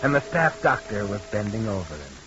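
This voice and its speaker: A muffled vintage radio recording with loud music under a low-voiced narrator. He tells a suspense tale in terse, ominous phrases, taunting the guilty man at its center. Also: very animated, brisk. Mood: grim, measured.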